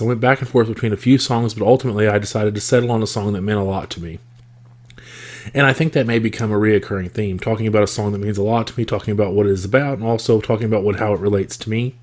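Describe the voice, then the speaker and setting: A man's voice: on the low side, darkish tone. Congested man with a scratchy voice. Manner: reflective, thoughtful, with frequent fillers. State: congested, rough scratchy voice from a cold. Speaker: podcast host. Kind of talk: casual solo podcast talk.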